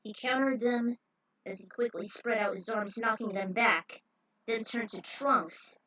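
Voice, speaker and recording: A woman's voice: fairly high pitch, unhurried, sharp tone, rough recording. A woman narrating action fan fiction aloud as a dramatic story reading.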